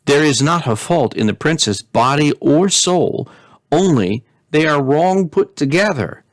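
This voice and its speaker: A man reading a storybook voices a quick, flighty, excitable philosopher who lectures urgently in emphatic, repetitive declamation. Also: a little high, no room sound, studio quality, pitched above the performer's own voice. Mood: insistent, eager.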